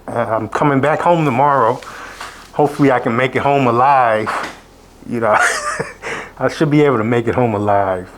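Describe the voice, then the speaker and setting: A hoarse man, sick, hosting a casual college football betting recap, rambling with many fillers and restarts. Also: mid-range voice, wildly swooping pitch, normal speed, long pauses, a little hiss or hum. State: hoarse from illness. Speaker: sports betting show host. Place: abroad, indoors.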